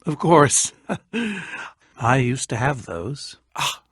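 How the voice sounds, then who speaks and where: Game role: eccentric reclusive adventurer, wistful and fussy, theatrical wordy delivery with lively pitch swings, very dry close recording. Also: male voice, extremely slow, no echo. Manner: theatrical, wordy, dryly self-pitying. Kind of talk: animated video game character acting.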